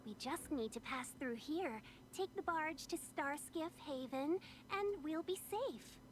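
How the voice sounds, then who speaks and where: Game role: polite young female envoy, coy and gentle, high pitch, slow measured delivery. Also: expressive, a little hiss or hum. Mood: reassuring. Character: polite, coy young woman, well-travelled trade envoy. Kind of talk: game voice acting.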